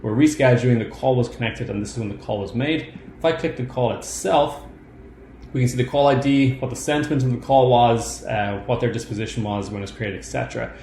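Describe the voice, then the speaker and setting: A man narrating a step-by-step software demo in an informal, explanatory way. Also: medium pitch, steady tone, fairly quick. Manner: explanatory, informal instructional delivery. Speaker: software tutorial presenter. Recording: roomy echo, clear background noise.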